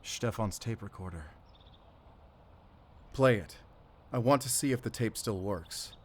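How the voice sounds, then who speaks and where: A man's voice: on the low side, measured pace, heavy background noise, close to an everyday voice. A man playing a determined, defiant investigator on the run in a cinematic audio drama, speaking with intensity. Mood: tense, resolute. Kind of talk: cinematic audio roleplay acting.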